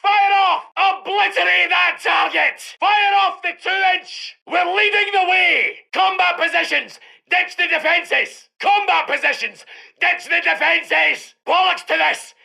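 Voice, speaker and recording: British heavy tank commander for a strategy game: gruff, commanding, gravelly, sharp and forceful barks. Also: male voice, very high-pitched, unhurried, heavily acted. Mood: urgent, fierce. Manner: barking short orders forcefully. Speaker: game voice actor. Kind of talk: shouted military game barks.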